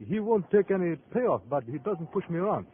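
Muffled vintage radio recording with faint noise. a shady nightclub owner, brusque and wary, speaking in a fairly high voice. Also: male voice, very animated, brisk. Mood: wary, evasive. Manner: curt, guarded. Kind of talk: 1950s radio crime drama acting. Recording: muffled, noisy old radio broadcast.

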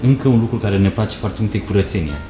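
A man with a low voice co-hosts a travel vlog and speaks earnestly about the things he likes. The sound is roomy and echoing. Music plays in the background. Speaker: travel vlogger co-host. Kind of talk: casual interview chat.

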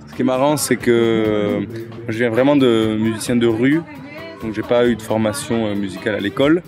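Thirties man, relaxed halting musician interviewed outside. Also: on the low side, over music. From Toulouse, France. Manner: relaxed, many hesitations. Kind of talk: casual interview. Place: outdoors against an old wall. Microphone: handheld mic held by interviewer.

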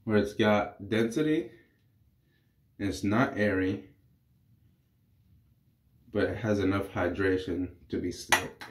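Low-voiced congested man in echoey bathroom. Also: slow pace, long pauses. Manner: relaxed, rambling, explains while shaving. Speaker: wet-shaving reviewer. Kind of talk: casual vlog commentary. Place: small bathroom.

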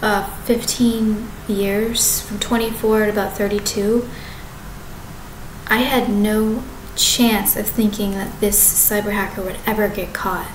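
A woman in her thirties talking to a webcam in her apartment, rambling and halting, often restarting and correcting herself. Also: medium pitch, level tone. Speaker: vlogger. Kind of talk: rambling direct-to-camera monologue. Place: apartment living room with kitchen behind. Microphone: webcam at desk distance. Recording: echoey room with background noise.

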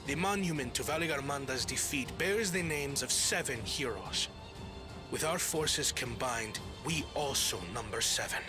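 An actor playing a composed, challenging warrior ally in a video game. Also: male voice, medium pitch, lively intonation, moderate pace, close to an everyday voice. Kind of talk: video game cutscene acting. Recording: clear music behind the voice.

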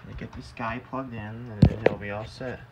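Male home vlogger, lowish voice, halting and offhand, talking through a hands-on demo amid loud noise, clicks and beeps. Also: steady tone. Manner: halting, thinking aloud. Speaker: vlogger showing a gadget. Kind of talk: casual hands-on demonstration, muttering to self. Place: indoors in a cluttered home room. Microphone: handheld phone or camera held close to device. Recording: noisy handheld home recording with clicks and beeps. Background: loud noise, button clicks and device beeps.

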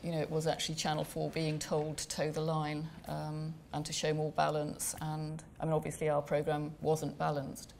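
Woman director, deep fry-edged voice, halting panel talk in a big room. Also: completely flat delivery, darkish tone, a little hiss or hum. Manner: hesitant, many fillers and restarts. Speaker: film director. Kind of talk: panel discussion answer. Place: large gallery room.

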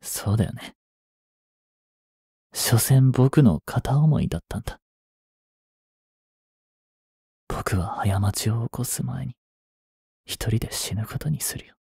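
A man in his twenties playing an obsessive, jealous young stalker in an intimate voice drama, coaxing softly with long pauses. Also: mid-range voice, vocal fry, slightly rough, no room sound, studio quality, full character voice. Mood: resigned, despairing. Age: 20s. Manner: soft, coaxing, brooding delivery with drawn-out pauses. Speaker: voice actor. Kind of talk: intimate Japanese voice drama, addressed to the listener.

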